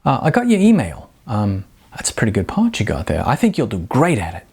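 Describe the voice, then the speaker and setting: Man playing a glib male agent, evasive, hedging, husky voice, lively pitch. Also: fairly quick, full character voice. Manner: glib, hesitant with fillers. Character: smooth-talking male talent agent, evasive.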